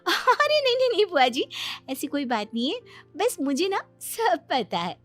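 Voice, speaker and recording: Woman playing a high-pitched chirpy know-it-all young daughter-in-law. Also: very animated, moderate pace, faint music behind. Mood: eager, assured. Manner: boastful, insistent, eager to advise. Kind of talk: Hindi cartoon story dubbing.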